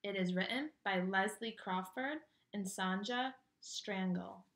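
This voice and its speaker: A woman reading a children's picture book aloud with expressive, dramatic storytelling delivery. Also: medium pitch, slow pace.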